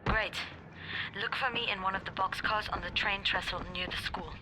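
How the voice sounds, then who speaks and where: An actress playing a brisk, determined female fighter in a video game, direct and businesslike. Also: mid-range voice, steady tone, background noise, lightly acted. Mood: urgent. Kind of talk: naturalistic video game acting.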